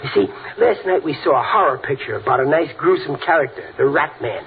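A man's voice: fairly high pitch, lively intonation, fast talker, gravelly, slightly rough, exaggerated voice. An actor playing a boisterous, childish working-class father with an American accent in a 1940s radio comedy. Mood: gleeful scheming. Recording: tinny, very noisy vintage radio recording.

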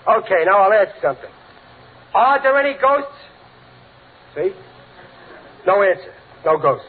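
Casting: cocksure working-class American father, high animated voice, ungrammatical and joking, 1940s radio comedy. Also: unhurried, breathy edge, exaggerated voice. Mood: blustering. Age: middle-aged. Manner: folksy, ungrammatical, chuckling wisecracks. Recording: tinny, noisy 1940s radio recording.

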